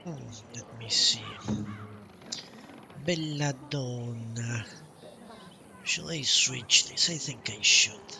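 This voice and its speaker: A man's voice: mid-range voice, drawn-out words, creaky, long pauses, background noise. A man doing casual live gameplay commentary as a streamer, musing and thinking aloud in short phrases. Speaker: game streamer. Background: game music.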